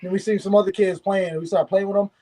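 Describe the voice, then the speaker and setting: A man in his late twenties co-hosting a casual sports chat, excitable, swearing and laughing often. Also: sits high, fast. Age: 20s. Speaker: podcast co-host.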